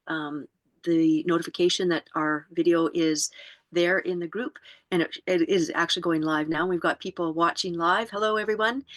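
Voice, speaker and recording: A woman hosting an online networking circle, affirming the speakers in a gentle, rambling way. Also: lowish voice, no echo. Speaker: circle host. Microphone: video call.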